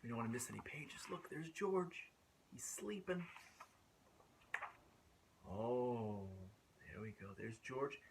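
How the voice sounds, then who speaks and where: A man reading a picture book aloud to young children in an animated, drawn-out way, asking questions and dropping into whispers. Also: medium pitch, creaky, noisy background. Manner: drawn-out, asks the listeners questions, drops to whispers. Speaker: children's storytime host.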